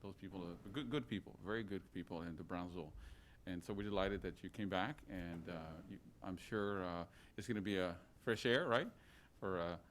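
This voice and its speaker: A man presiding over a public meeting, making informal remarks in a halting way. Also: deep voice, fairly bright tone, a little hiss or hum. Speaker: presiding city leader. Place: city commission meeting room.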